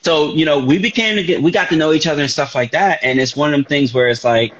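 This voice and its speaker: A man's voice: on the high side, quick pace, a bit bright, lo-fi sound. A man in his thirties hosting a casual talk show, chatting loosely with frequent restarts and slang. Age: 30s. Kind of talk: casual chat.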